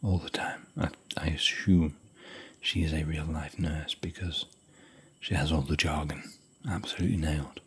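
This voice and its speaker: A man whispering softly in a rambling, chatty, gentle way while hosting an ASMR hotel room tour. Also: rumbling low, fairly even intonation, normal speed, husky. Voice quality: soft whisper.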